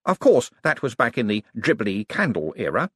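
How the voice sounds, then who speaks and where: A man's voice: mid-range voice, big pitch swings, normal speed, fairly bright tone, breathy edge, no echo, clean studio sound. A man voicing a friendly, flustered young wizard scholar in an audiobook, modest but bookish, hesitating and stammering with many ums. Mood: apologetic.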